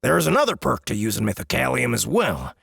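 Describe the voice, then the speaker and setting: A man playing a boastful, hearty dwarf smith in a fantasy audiobook, with a booming, gravelly voice and a smug manner. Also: wildly swooping pitch, fast, fairly bright tone, no echo, studio quality, full character voice. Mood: smug, proud. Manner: smug, bragging. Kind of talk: dramatized fantasy audiobook acting.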